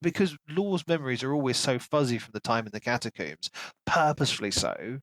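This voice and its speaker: A man's voice: mid-range voice, expressive, brisk, a bit bright, no room sound. A man co-hosting a casual book discussion, giving his opinions in an analytical way. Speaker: podcast co-host.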